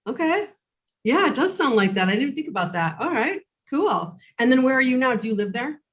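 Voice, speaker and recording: A woman's voice: high voice, very animated, fairly quick. A woman hosting a podcast interview over a video call, bubbly and enthusiastic, rambling with fillers. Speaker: podcast host. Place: Chicago.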